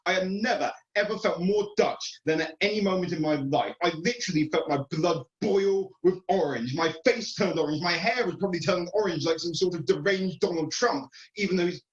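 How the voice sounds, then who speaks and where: A man in his twenties with an English accent telling a comic personal story in a theatrical, dramatic way with deliberate pauses. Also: sits high. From England. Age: 20s. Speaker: amateur storyteller at an online speaking event. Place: indoor room, online video event. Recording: roomy, slightly muffled online call.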